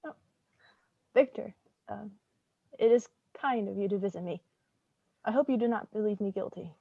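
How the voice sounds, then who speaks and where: A woman's voice: medium pitch, normal speed, vocal fry, dry recording, narrow, tinny audio. A woman playing a wrongly condemned young woman in a staged gothic drama, wounded, defiant and pleading. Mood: hurt.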